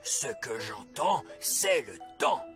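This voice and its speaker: Over loud music comes a wise old cartoon elf, with a very lively, swooping delivery. He is pedantic and skeptical, pompous in his explanations and quick to bluster. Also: medium pitch. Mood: pensive, evasive. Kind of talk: French animated series dub.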